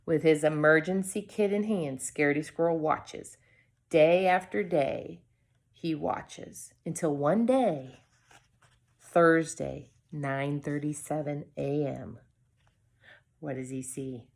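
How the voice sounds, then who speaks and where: A woman reading a storybook aloud to children, expressively, with deliberate dramatic pauses and short asides to her viewers. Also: low-pitched, gravelly, darkish tone.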